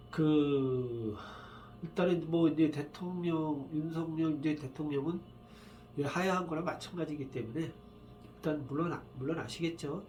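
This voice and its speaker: A man with a slightly high voice, worn and rough from a cold, talks casually on his own. He sounds tired and unhurried, wandering between thoughts and filling pauses as he explains a fortune reading. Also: darkish tone, a little hiss or hum. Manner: rambling, halting, many fillers. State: tired, just woken up. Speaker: saju fortune reader. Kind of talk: casual solo video monologue.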